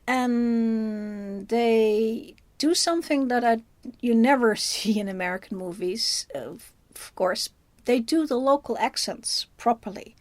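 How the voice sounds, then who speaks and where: High-voiced Dutch woman chatting, halting. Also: no echo. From Netherlands. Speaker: film reviewer. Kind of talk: casual film review chat.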